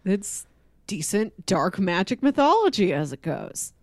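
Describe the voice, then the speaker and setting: A woman's voice: medium pitch, very animated, unhurried, darkish tone, no room sound, studio quality. A woman co-hosting a casual comic book discussion, explaining things in a conversational, wry way. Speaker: podcast co-host.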